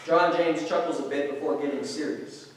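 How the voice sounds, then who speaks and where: A man's voice: a little high, fairly even intonation, normal speed, slight background noise. A man reading stage directions as scripted narration for a staged play reading. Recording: distant mic in very echoey room.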